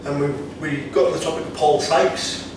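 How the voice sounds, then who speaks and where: A man's voice: a little high, fairly even intonation, normal speed. A man hosting a podcast, firing eager, probing questions at his guest. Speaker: podcast host. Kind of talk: casual interview questions. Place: bar lounge, seated on a sofa. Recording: echoey room from far off, background hum.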